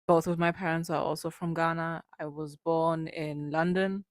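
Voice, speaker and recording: A woman's voice: low voice, flat delivery, normal speed, no echo, studio quality. A young woman from Germany introducing herself at a Q&A, explaining things informally. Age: young adult. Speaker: political party member introducing herself. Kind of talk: informal spoken self-introduction at a Q&A. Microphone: handheld or passed microphone, close.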